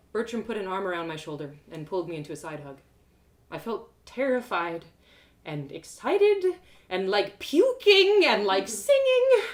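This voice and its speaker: A woman reading a fantasy story aloud in an expressive, first-person narrating style. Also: fairly low pitch.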